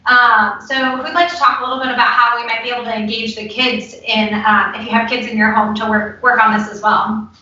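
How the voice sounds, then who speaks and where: Female panel moderator, bright forceful tone, casual summarizing with hesitations; very roomy online-call audio. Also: on the high side, little pitch movement, brisk. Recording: echoey, distant, dull online call audio.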